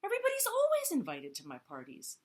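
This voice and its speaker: A woman's voice: very high voice, wildly swooping pitch, fast, pitched above the performer's own voice, exaggerated voice. A woman voicing a cheerful, easygoing zebra who hosts a party and invites everyone. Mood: breezy, friendly. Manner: friendly, breezy, chuckling.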